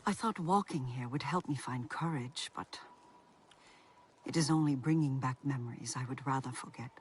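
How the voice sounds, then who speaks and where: A man playing a timid, guilt-ridden alien in a video game, husky and confiding in a hesitant way. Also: medium pitch, normal speed, a little hiss or hum, natural voice. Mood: worried. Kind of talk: video game cutscene acting.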